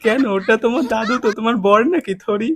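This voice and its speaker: A man's voice: very high-pitched, quick pace, noisy background. A man hosting a prank phone call, chatting casually in a teasing, needling, mock-lovelorn way. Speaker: prank-call video host.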